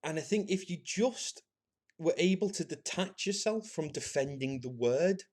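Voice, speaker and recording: A man, possibly, hosting a solo podcast and thinking out loud in a halting, earnest way, with frequent restarts and filler words. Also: on the high side, expressive. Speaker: podcast host. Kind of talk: solo opinion monologue.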